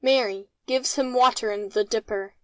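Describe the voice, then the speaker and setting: A woman's voice: on the high side, fairly even intonation, normal speed, fairly bright tone, no echo. A woman reading out a play's stage directions as scripted narration.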